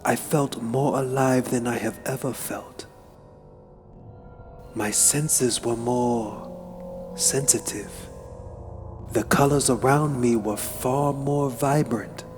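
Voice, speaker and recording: A man narrates a horror tale in a dramatic, solemn style. His delivery is slow and deliberate, built for suspense, with a medium-pitched voice. Music plays clearly behind him. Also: steady tone. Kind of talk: dramatic horror story reading. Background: background music.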